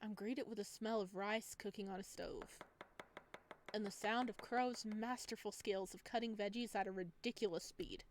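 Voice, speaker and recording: A woman hosting a let's play, reading a visual novel aloud with playful, expressive casual commentary. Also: medium pitch, moderate pace, a little hiss or hum.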